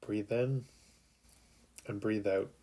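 A man narrating a guided sleep meditation in a calm, soothing, unhurried way with long pauses. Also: on the low side.

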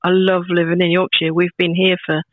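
A woman's voice: on the low side, flat delivery, a little fast, no room sound. Chatty grandmother on a tinny line. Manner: warm, chatty, with frequent restarts and fillers. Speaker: podcast guest. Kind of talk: casual chat. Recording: tinny phone-like line, dry.

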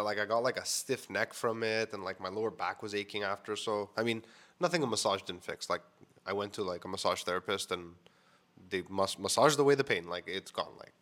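A man's voice: on the low side, fairly bright tone. A man telling a personal story to camera in a frank, casual way, full of fillers and swearing, sounding a bit congested. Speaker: vlogger. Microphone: mic on camera setup.

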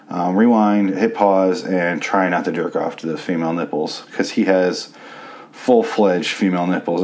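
A man hosting a casual solo review podcast, a little sick with a raspy voice, crude and sarcastic as he rambles. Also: deep voice, a little mellow. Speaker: podcast host reviewing a TV series.

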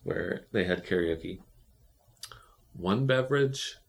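A man with a fairly low voice, talking in a relaxed, meandering way on a podcast. He drifts between thoughts with plenty of ums and restarts and keeps it casual. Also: takes it slowly, vocal fry. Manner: relaxed and rambling, with many fillers and restarts. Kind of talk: casual chat.

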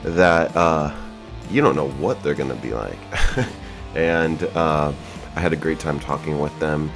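A man hosting a music podcast, speaking in a relaxed, hesitant way with many fillers as he introduces the episode. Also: a bass voice, unhurried. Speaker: podcast host.

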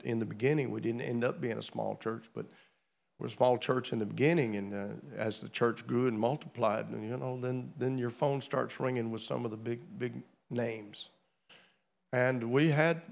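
Folksy gravelly preacher in his sixties. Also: mid-range voice. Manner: folksy, joking storyteller. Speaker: traveling preacher. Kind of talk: church pulpit speaking. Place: church sanctuary.

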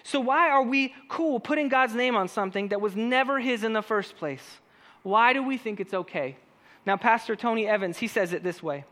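A man with a high voice preaching a sermon, talking straight to the congregation and asking them one question after another. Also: normal speed, a bit bright. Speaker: pastor.

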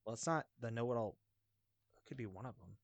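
A man chatting casually as a quiz contestant, thinking aloud in an excitable, hesitant way. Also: medium pitch, fast talker, creaky, no room sound, muffled recording. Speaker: podcast guest, quiz contestant.

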